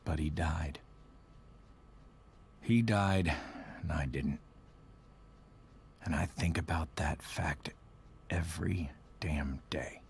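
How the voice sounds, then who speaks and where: Game role: solemn American army veteran stepfather, grieving, halting, deep-voiced and unhurried. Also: gravelly, slight background noise, heavily acted. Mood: somber reminiscing, then pained. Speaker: video game character. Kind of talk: dramatic game cutscene acting.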